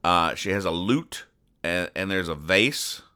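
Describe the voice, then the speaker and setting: Low, crisp-voiced man, deadpan comic commentary. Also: a little slow. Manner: deadpan, mock-exasperated. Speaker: podcast host. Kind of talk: comic commentary reading newspaper comics aloud.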